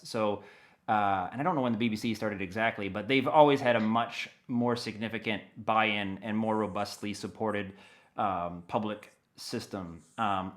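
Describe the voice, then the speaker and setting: An American man giving a casual interview, rambling in an animated way as he thinks aloud. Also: on the low side. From United States. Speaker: interview guest, audio dramatist.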